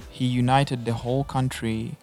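A man's voice: mid-range voice, gravelly, soft background music. A man hosting a casual conversation, listening closely with frequent murmurs and asking curious questions. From Tanzania. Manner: attentive, fills pauses with steady "mm" and asks curious questions.

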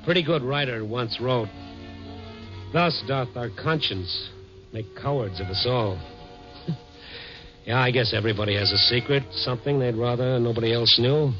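A man plays a hard-boiled night-beat reporter in an old radio drama. He is measured and wry, with a mid-pitched voice, on a dull vintage recording with music. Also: close to an everyday voice. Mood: reflective, wry. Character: steady, wry Chicago newspaper reporter and night narrator. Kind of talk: 1950s radio drama acting and narration.

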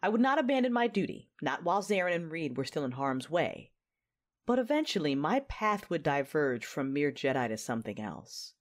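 A low-pitched female voice carries this sci-fi audio drama. She is a resolute, battle-hardened warrior recounting her own story. The delivery is measured and dramatic, like a memoir told aloud. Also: big pitch swings. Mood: intimate, reflective. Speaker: narrator and lead character. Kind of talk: first-person dramatic narration in an audio drama.

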